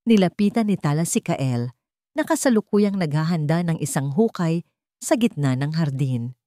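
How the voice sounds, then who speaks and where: A woman storyteller with a fairly low voice narrates a dramatic story from a script. The sound is dry, with very little room in it. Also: lively intonation, moderate pace, warm tone, no echo.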